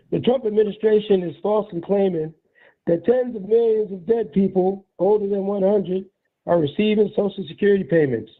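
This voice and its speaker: Hoarse man reading news over the phone. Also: sits high. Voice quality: raspy. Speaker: street reporter. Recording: tinny phone line, phoning in.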